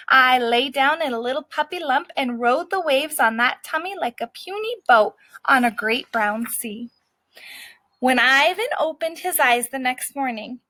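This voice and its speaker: A woman's voice: high voice, fairly bright tone, no echo. A woman voicing a scrappy, streetwise male dog who narrates his own story in a brash, cocky way.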